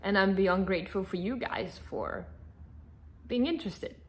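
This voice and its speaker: A woman in her thirties from Northern Italy, a native Italian speaker, chatting to camera in an animated, emphatic way, answering followers' questions. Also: medium pitch, measured pace. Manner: animated, emphatic, repeats herself. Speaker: content creator. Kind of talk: casual Q&A chat to camera.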